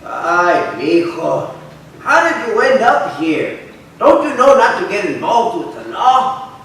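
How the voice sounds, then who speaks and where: A man's voice: sits high, big pitch swings, takes it slowly, fairly bright tone, heavily acted. A man playing a fond old grandfather, telling stories in a staged reading. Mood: scolding. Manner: storytelling, sprinkled with Spanish. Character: fond, animated old Mexican immigrant grandfather. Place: arts center stage, live performance. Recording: echoey hall, distant mic, faint noise.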